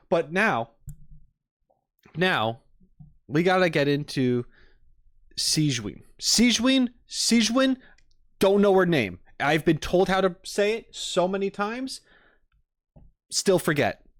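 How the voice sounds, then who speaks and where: Animated, casual male streamer. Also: high voice, dry recording, studio quality. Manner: animated, self-deprecating. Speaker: streamer reacting to game trailers. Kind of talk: casual rambling livestream chat.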